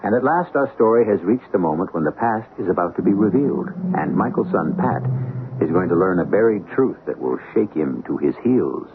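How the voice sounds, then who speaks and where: A man playing the solemn host of a suspense radio series, narrating in a measured, dramatic way. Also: sits low, lively intonation, a little hiss or hum. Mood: solemn, ominous. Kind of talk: old-time radio scripted narration. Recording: tinny radio broadcast over music.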